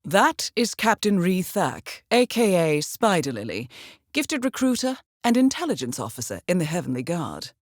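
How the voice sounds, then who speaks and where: An actress playing a composed, formal and dryly witty intelligence handler who briefs an assassin in a video game. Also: female voice, on the low side, very animated, normal speed, dry recording, studio quality. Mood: composed, businesslike. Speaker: mission handler.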